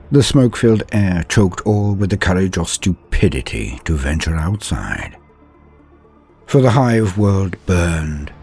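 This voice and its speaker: A man with a very deep voice narrates dark fiction in a grave, dramatic way. Soft music sits quietly beneath his words.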